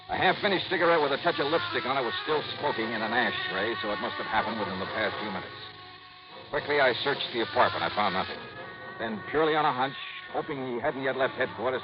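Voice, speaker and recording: A man playing a brisk, wry hardboiled insurance investigator in 1950s radio drama, with clipped, slangy delivery. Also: medium pitch, lightly acted. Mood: grim narration. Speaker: lead actor and narrator. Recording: dull vintage radio recording with music.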